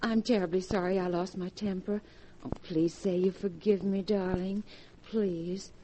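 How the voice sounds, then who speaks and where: A woman's voice: fairly low pitch, fairly even intonation, unhurried, warm tone, lightly acted. An actress playing a jealous, hot-tempered young woman in melodramatic 1940s radio style. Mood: pleading. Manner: melodramatic, emotional. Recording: noisy old 1940s radio recording.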